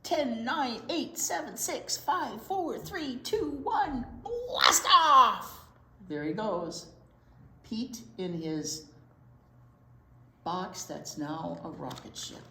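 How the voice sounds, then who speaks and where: A woman's voice: a little high, big pitch swings, very slow, creaky, bright, forceful tone, a little hiss or hum. A woman reading a picture book aloud to young children as a storytime teacher, expressively drawing out key words and asking little questions. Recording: roomy, echoey indoor sound.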